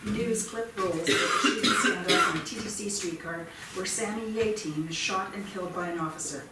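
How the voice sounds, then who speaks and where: A woman's voice: on the low side, moderate pace. A woman giving scripted narration as the stage directions reader at a play reading. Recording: echoey theatre, distant mic, noisy.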